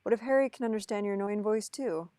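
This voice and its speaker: A woman's voice: medium pitch, fast, warm tone, no room sound, natural voice. A woman playing an awkward, reluctant, exasperated young man in an amateur script reading, flustered and protesting.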